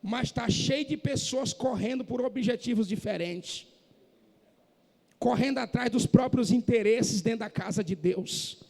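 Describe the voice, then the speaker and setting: A man preaching a sermon in a church, impassioned and rising to shouts, from northeastern Brazil. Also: extremely high pitch, measured pace, creaky. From Northeast Brazil, Maranhão. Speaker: pastor. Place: church hall. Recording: echoey church hall.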